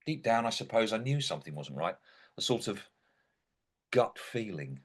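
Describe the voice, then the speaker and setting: A man's voice: fairly low pitch, sing-song, normal speed. Man acting a wry, swooping, sardonic ghost. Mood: wry musing. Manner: wry, theatrical, exclamatory. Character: indignant, sardonic dead young man narrating his own post-mortem. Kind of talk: dramatic monologue in a comic stage play.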